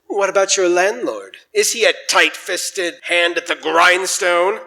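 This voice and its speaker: A high-voiced man plays announcer for a live radio show. His delivery is bright, showy and jocular, in old-time sponsor-read style, and it rings through a large reverberant hall. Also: fairly even intonation, measured pace. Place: large church, live stage performance.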